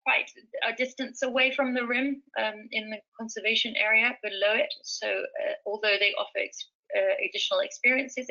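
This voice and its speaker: A woman with a bright, forceful voice gives an enthusiastic webinar-style presentation, pausing on fillers and restarting phrases. The audio is somewhat dull and lo-fi. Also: fairly high pitch. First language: speaks fluent Swahili. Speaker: safari travel presenter.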